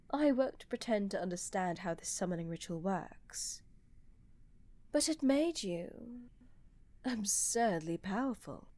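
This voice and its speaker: A woman's voice: mid-range voice, mellow, dry recording, close to an everyday voice. A woman playing a blunt, pragmatic empress with a dry, sardonic, haughty manner in a fantasy audio roleplay.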